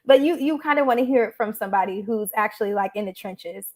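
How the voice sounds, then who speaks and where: A woman's voice: mid-range voice, fast. A woman hosting a live online talk show, chatty and appreciative, with frequent fillers. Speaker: host. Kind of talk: casual livestream chat.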